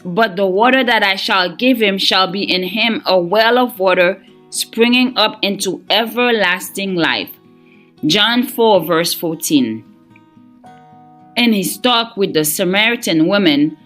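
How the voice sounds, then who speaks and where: A woman reading a scripted devotional in a measured, earnest manner. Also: medium pitch, a bit bright. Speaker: devotional reader.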